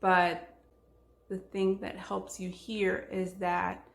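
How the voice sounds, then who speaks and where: Female language teacher, Israeli accent, native Hebrew speaker. Slow, even-pitched delivery with emphasis on key words, in a roomy space. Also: gravelly. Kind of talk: instructional talk to camera.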